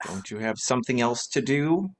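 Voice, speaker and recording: A man's voice: medium pitch, animated, moderate pace, close to an everyday voice. A man playing a wry, teasing husband and witty sleuth in an old-time radio mystery, with a dry, playful manner. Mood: flustered, impatient.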